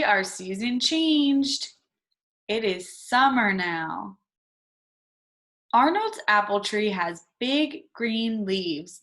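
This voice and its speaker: Very slow-paced woman reading to children. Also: medium pitch, fairly bright tone. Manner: simple short sentences, prompting with questions and answering them; instructional, emphasising key words slowly for children. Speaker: teacher reading aloud to young pupils. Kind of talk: interactive children's read-aloud.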